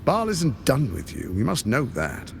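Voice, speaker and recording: An actor playing a suave, scheming tyrant lord in a video game, speaking in a silky, pompous, measured and persuasive way. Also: male voice, mid-range voice, huge pitch swings, creaky, a little hiss or hum, heavily acted. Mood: coaxing, ominous. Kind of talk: theatrical video game cutscene acting.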